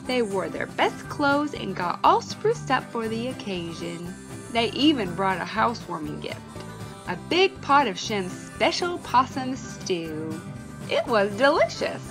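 A woman's voice: on the high side, vocal fry. A woman narrating a children's picture book aloud, with music behind her. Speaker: storybook reader.

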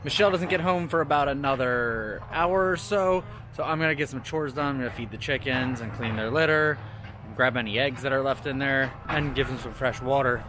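Bright-voiced man vlogging to camera. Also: mid-range voice, fairly quick, faint music behind. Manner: chatty, easygoing, talks straight to camera. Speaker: homestead vlogger. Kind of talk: casual vlog narration. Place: outdoors on rural land, then inside a camper. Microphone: camera held at arm's length.

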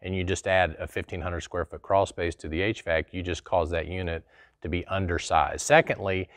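Host type: middle-aged man, lower voice, dry studio sound, relaxed explainer. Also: moderate pace, dry recording. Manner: conversational, folksy, answers a viewer question. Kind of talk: casual explanatory talk to camera. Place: small studio in front of a plain wall.

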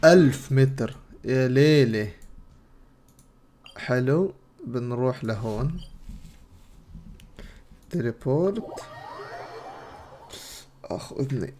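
Young man, Levantine Arabic accent, mid-pitched, casual gaming streamer commentary, clear background game noise. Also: expressive, slow, pauses often, dark tone. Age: young adult. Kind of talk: casual game commentary, loosely translating dialogue. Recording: game audio and noise behind voice. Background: video game sounds.

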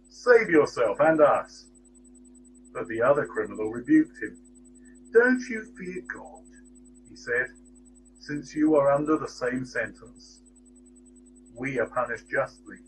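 Man reading scripture over a humming webcam. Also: medium pitch. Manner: measured, deliberate reading with lifted emphasis on quoted speech. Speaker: church member giving a reflection. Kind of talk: Bible reading and reflection. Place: small indoor room with shelves. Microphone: webcam at close range. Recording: steady electrical hum behind the voice.

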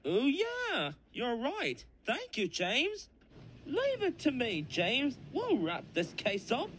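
An actor voicing an eager, naive young diesel engine detective in a cartoon, earnest and excitable. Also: high voice, slow, slightly rough, heavily acted. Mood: eager, determined. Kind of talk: cartoon comic dub dialogue.

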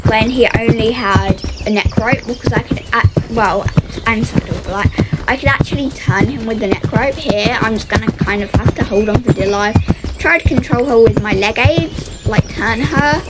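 A woman's voice: fairly high pitch, normal speed, over loud music, low-quality recording. A young woman giving casual commentary as she rides a horse in a game, chatty and full of fillers, coaxing her horse along. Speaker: vlogger narrating a horse game. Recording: loud noise behind the voice.

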